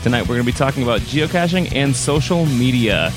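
A man's voice: medium pitch, expressive, quick pace, music in the background. A man hosting a podcast in a casual, friendly way, with easy filler words and restarts. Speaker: podcast host.

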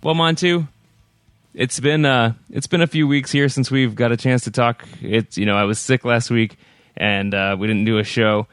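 Relaxed male podcast host, dry mic. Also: mid-range voice, normal speed, clean tone, no room sound, faint music behind. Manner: relaxed, friendly, with filler words and restarts. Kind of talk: casual podcast chat.

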